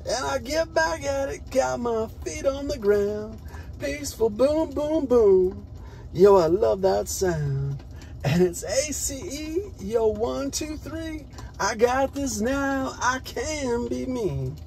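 A man's voice: very high-pitched, animated, gravelly, darkish tone. American man performing rhythmic spoken word poetry. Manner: drawn-out, rhythmic, emphatic.

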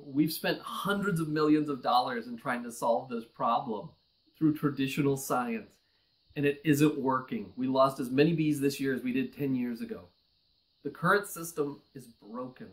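A man's voice: mid-range voice, low-quality recording. A man in his forties, a nonprofit research director, speaking straight to camera in an earnest, urgent appeal from a small office. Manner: earnest, urgent, building to emphatic pleas. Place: office with bookshelves, indoors. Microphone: close mic, seated on camera.

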